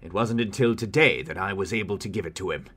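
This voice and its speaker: A man playing a kind, regal king in an animated fairy tale, speaking in a formal, declarative way. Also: lowish voice, quick pace. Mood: flustered, commanding. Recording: music under the voice.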